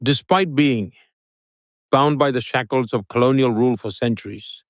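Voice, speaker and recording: A man giving an interview guest's answers in English, with a steady, formal delivery like a prepared speech. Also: medium pitch, normal speed, no echo, clean studio sound.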